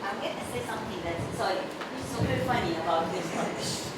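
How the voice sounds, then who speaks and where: A woman's voice: medium pitch, normal speed. Woman from Syria, English, earnest panel comment, distant mic in a noisy echoey hall. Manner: earnest, non-native phrasing. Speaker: audience member. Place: university auditorium.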